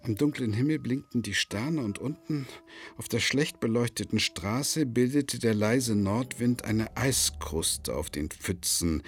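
A man's voice: lowish voice, a bit bright. An actor in a German radio play, playing a fussy, long-winded travelling lecturer who keeps interrupting himself as he recounts his story. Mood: lyrical narration.

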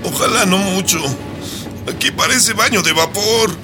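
A man's voice: high voice, moderate pace, music in the background, lightly acted. A man acting a nervous, grumbling, chubby Mexican migrant in a radio drama, with a Mexican accent and a complaining way of talking. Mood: uneasy, grumbling.

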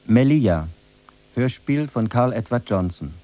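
A man reading scripted radio drama credits as an announcer, formal and deliberate. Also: mid-range voice, expressive, warm tone, highs cut off. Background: music.

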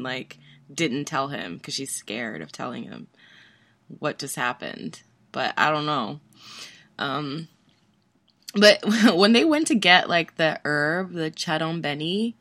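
A woman's voice: deep voice, fairly bright tone, dry recording. A young woman chatting casually about a TV episode, laughing often and filling her talk with "like".

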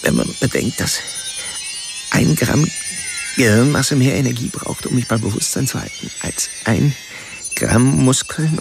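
A man's voice: mid-range voice, vocal fry. An actor playing a brooding serial killer who narrates his thoughts in a bookish, sardonic way for a radio drama. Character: brooding male serial killer, intellectual, coldly self-absorbed. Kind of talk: first-person radio drama narration, inner monologue.

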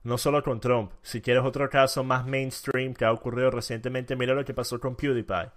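A man's voice: medium pitch, level tone, a little fast. A man from Venezuela hosting a debate, arguing assertively and emphatically. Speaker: debate host. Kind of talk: casual debate.

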